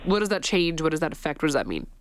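A woman's voice: sits low, sing-song, rapid-fire, smooth, dry recording, studio quality. A woman hosting a radio show, interviewing in an informal, conversational way with plain-worded questions.

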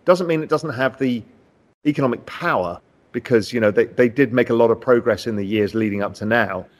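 A man's voice: medium pitch, a little fast. Confident man explaining markets. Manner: confident, explanatory, animated emphasis. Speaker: macro analyst in a finance interview. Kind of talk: conversational market discussion.

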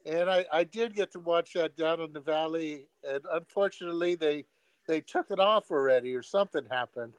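A man calling in to a sports show, talking haltingly with lots of fillers and repeated words. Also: on the high side, clean tone, dry recording, poor audio. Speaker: caller. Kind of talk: phone-in chat.

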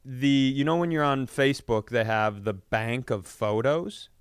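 Clear-voiced man telling casual comedic stories. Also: medium pitch, a little slow, dry recording, studio quality. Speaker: comedy podcast host.

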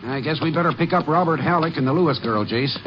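An actor playing a folksy, plainspoken small-town sheriff in a 1950s radio drama, talking in a drawling, ungrammatical way. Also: male voice, on the high side, quick pace, close to an everyday voice. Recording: muffled old radio broadcast with faint hiss.

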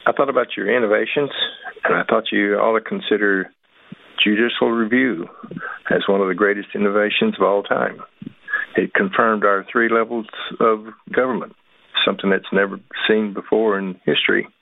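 A man's voice: fairly low pitch, steady tone, moderate pace, gravelly, dry recording. An American man leaving a listener message for a radio show over a phone line. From Texas, United States. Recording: tinny, narrow phone line.

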